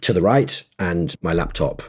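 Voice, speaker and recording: Man, low-pitched, husky, podcast co-host, casual, measured, agreeable, conversational. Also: lively intonation. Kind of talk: casual conversational tech chat.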